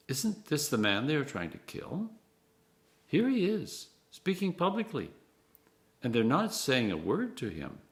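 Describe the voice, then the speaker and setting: A clergyman reading scripture aloud in an expressive, measured way, phrase by phrase, with emphatic stresses. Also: mid-range voice.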